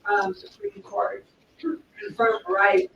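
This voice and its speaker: A woman's voice: sits high, big pitch swings, takes it slowly, vocal fry, highs cut off. Woman in the audience asking a question, voice goes up and down a lot, far from mic, noisy echoey room. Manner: inquisitive, careful clarifying. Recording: echoey room, distant mic, background noise.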